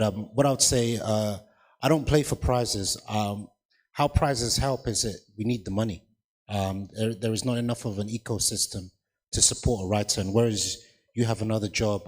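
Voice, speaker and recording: A man, a writer on a festival panel, answering audience questions in an earnest, candid way with many restarts. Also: lowish voice. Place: festival hall.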